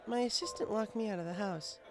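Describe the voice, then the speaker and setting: A man's voice: sits high, fairly quick, crowd noise, pitched above the performer's own voice, rough recording. A man voicing a bookish young female unicorn pony, prim, precise and socially awkward, in an audiobook. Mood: reluctant, sour. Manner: prim, precise, slightly superior. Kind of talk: audiobook character voice.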